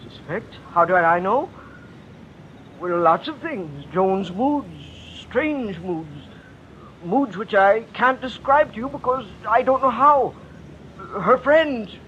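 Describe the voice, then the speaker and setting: A high-voiced middle-aged father, bitter and anguished, speaks in halting, theatrical phrases. It is an old radio play, dulled in bandwidth, with faint noise underneath. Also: animated, a bit bright, highs cut off, exaggerated voice. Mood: troubled. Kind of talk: old-time radio drama acting.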